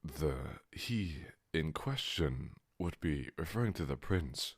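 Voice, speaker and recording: A man playing a grave, analytical mercenary in an audio drama, speaking formally and deliberately. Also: rumbling low, animated, husky, heavily acted.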